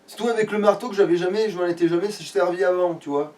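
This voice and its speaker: Higher-voiced man grumbling, distant mic. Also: fast, a little mellow. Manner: grumbling, sweary, colloquial. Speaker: let's player. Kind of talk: casual let's play commentary with a friend.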